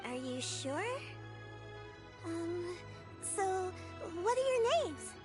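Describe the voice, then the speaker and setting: A woman's voice: very high-pitched, big pitch swings, drawn-out words, music in the background, heavily acted. A woman voicing a sweet, polite, slightly hesitant young child in a video game. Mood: shy, grateful.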